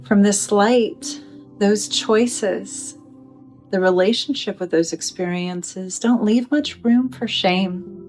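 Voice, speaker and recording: A woman leading a guided meditation in a gentle, soothing manner, with deliberate phrasing and pauses. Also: medium pitch, soft background music.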